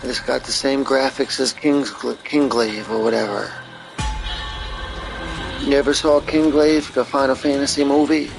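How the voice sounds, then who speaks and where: A man's voice: medium pitch, measured pace, creaky, rough recording. A man giving casual, informal commentary over video game cutscenes, explaining things like a fan and swearing freely. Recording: game soundtrack music behind the voice. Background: video game cutscene music.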